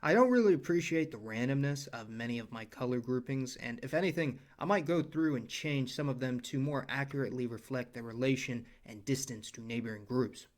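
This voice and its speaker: A man's voice: medium pitch, a little fast, hardly any pauses. A young adult man narrating his own educational video, with earnest explanations and personal asides. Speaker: channel creator and narrator. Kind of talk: scripted educational voice-over.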